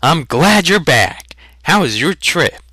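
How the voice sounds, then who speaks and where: A man's voice: a little high, big pitch swings, slow pace, pushed and bright, husky, no echo, clean studio sound, voice pushed up, full character voice. Man voicing sturdy, brotherly young fantasy villager. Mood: glad.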